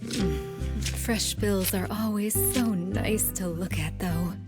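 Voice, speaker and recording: A woman's voice: mid-range voice, slow, loud music behind the voice, natural voice. An actress playing a languid, playful, money-loving shopkeeper woman in a video game. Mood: pleased, teasing.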